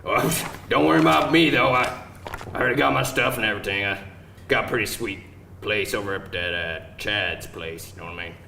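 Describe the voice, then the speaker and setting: A man playing an absurd, showy jilted boyfriend with halting, rambling, ungrammatical talk in a live table read. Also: lowish voice, gravelly, sharp tone, pitched below the performer's own voice. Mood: awkward, nonchalant. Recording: roomy live event, distant mic, faint noise.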